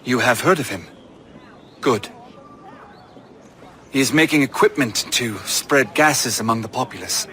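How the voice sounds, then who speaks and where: A stern colonial officer in a video game. He speaks formally in a hard, forceful tone at a middling pitch, with slight ambient noise behind. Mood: grave, businesslike. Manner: formal, measured wording. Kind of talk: dramatic video game cutscene acting.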